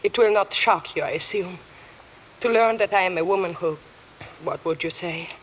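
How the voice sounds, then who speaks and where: Casting: actress for worldly, weary accused widow, proud and guarded, formal delivery, 1950s radio style with faint noise. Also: female voice, lowish voice, normal speed, natural voice. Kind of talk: old-time radio drama acting. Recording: noisy vintage radio broadcast.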